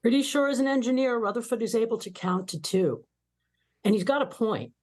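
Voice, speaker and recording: A woman's voice: fairly high pitch, expressive, a little fast, darkish tone. A woman reading the part of a blunt, sarcastic young female starship officer in a comedic table read. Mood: mocking. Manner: sarcastic, exclamatory.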